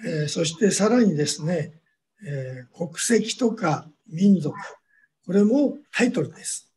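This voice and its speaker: High mellow guy lecturing slowly, lots of long uhs. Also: animated. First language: Japanese. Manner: measured lecturing with drawn-out fillers. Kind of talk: academic lecture.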